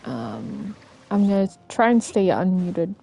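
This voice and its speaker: A woman livestreaming a video game with casual, low-key commentary. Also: sing-song, takes it slowly, gravelly, dark tone, a little hiss or hum. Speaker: game streamer. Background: game music.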